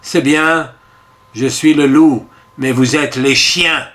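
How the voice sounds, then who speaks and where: A man voicing a captured soldier and father who speaks quietly, calmly and with resignation. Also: fairly high pitch, slow.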